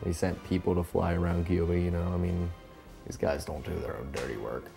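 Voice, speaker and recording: Casting: drunk guy, low voice, rambling profane storyteller, faint music behind. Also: steady tone, brisk, vocal fry. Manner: rambling, profane, trails off and restarts. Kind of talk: rambling drunken retelling of history.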